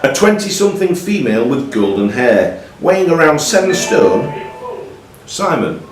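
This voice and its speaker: A man's voice: a little high, big pitch swings, normal speed, a little hiss or hum. A man hosting a live pub quiz as quizmaster, reading out questions and ruling on answers. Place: pub room. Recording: echoey pub room, distant mic, music behind.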